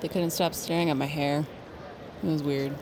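Woman playing a deadpan, low-voiced teenage girl. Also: normal speed, noisy background, close to an everyday voice. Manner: deadpan, biting. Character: aloof, sardonic teenage girl working a shop counter. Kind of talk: naturalistic audio drama acting.

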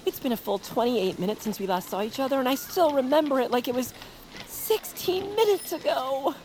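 An actress playing an anxious, polite, easily flustered young homebody in an audio drama, earnest and fretful. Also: female voice, high voice, animated, brisk, a little mellow, a little hiss or hum. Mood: remorseful. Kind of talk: audio drama character acting.

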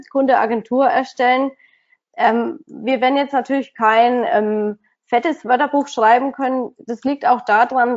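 Woman presenting a webinar, lo-fi. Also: a little high. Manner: friendly, hesitant with many fillers. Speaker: agency owner, webinar guest speaker.

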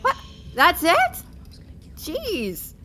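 An actress playing a perky, sarcastic tour guide with exaggerated enthusiasm, addressing schoolchildren in an audio drama. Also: female voice, very high voice, wildly swooping pitch, drawn-out words, background noise, exaggerated voice. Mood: peppy, sarcastic. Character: peppy, sarcastic woman guide leading schoolchildren on company tour.

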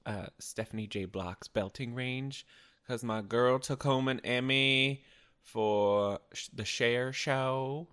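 A young man co-hosting a podcast, a little raspy, chatting casually with camp, giggly banter. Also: medium pitch, slow, a bit bright. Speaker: podcast co-host. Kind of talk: casual chat.

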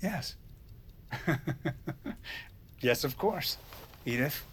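An actor playing a frail, sleepy President in an audio drama, halting and vague as he mumbles. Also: on the high side, lively intonation, fairly bright tone, a little hiss or hum, heavily acted. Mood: reassuring, halting.

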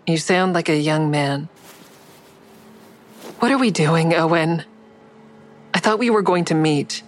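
A woman's voice: low-pitched, slow pace, a little hiss or hum. Audio drama lead: woman, blunt, wry, tired but defiant first-person narrator. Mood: tense, baiting. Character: weary, defiant woman narrating her own thriller.

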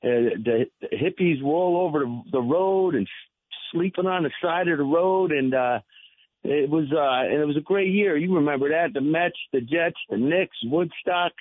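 A man, reminiscing casually and haltingly as a caller to a radio show. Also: fairly high pitch, no echo. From New York State. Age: 60s. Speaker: phone-in caller. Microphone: telephone. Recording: narrow, tinny, dry phone line.

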